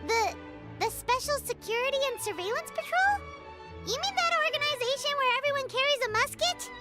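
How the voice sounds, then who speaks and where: A woman voicing a tiny, excitable, childlike fairy companion in a video game, curious and exclamatory. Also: extremely high pitch, fairly quick. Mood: amazed. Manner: speaks of self in third person, exclamatory, curious. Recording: music behind the voice. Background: game music.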